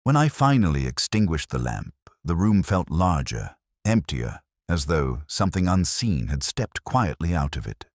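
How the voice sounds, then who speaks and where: A man playing a weary, ageing English detective, narrating a literary drama in a measured, solemn, reflective way. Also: a bass voice, sing-song, no room sound, studio quality, heavily acted. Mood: somber, reflective. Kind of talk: first-person literary audio drama narration.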